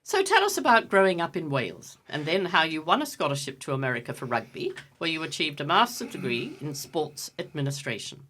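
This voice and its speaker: Low-voiced woman radio host. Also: sing-song, brisk, few pauses, fairly bright tone. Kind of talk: radio interview.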